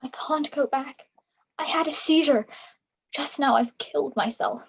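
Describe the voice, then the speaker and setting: Woman doing an anguished young gamer girl in a stage play, really high voice, pleading, defiant. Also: unhurried, creaky. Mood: sorrowful resolve. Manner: earnest, pleading. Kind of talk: staged reader's theatre acting.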